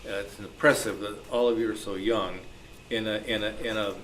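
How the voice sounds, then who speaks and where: A man moderating a club panel discussion, speaking in a halting, deliberate way without a script. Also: on the low side, fairly even intonation. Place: club meeting room. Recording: noisy live meeting room recording.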